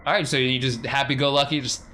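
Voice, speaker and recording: A man's voice: medium pitch, fairly even intonation, fast talker, bright, forceful tone, a little hiss or hum. A man acting as game master, casually narrating a tabletop game with playful asides. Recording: music behind the voice.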